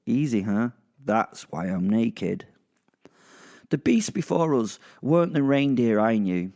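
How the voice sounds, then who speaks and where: A man's voice: mid-range voice, sing-song, slow pace, darkish tone. A man with a British accent playing a sardonic, foul-mouthed werewolf serial killer who narrates in a rambling, confiding way. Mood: wry, aggrieved. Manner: rambling, confiding, sweary, dry. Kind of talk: dramatised first-person narration to listeners.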